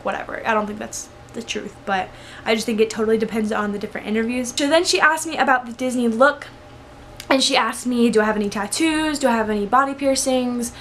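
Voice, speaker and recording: A woman's voice: on the high side, fairly bright tone, slight background noise. A young woman vlogger sharing tips in a casual, rambling, chatty storytime style, with lots of "like" in her speech. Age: young adult. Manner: rambling, informal, heavy use of "like". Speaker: vlogger giving interview tips.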